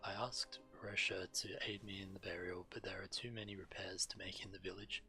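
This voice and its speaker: A man with a fairly low voice reads game quest text aloud as a streamer. Clear music plays behind him as he narrates. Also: level tone, a little fast, bright, forceful tone.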